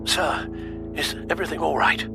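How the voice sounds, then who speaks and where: An actor playing a polite, worried, cautious confidant to a vigilante in a video game. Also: male voice, fairly high pitch, steady tone, moderate pace, gravelly. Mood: concerned. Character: concerned, cautious, courteous male confidant of vigilante. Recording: music behind the voice.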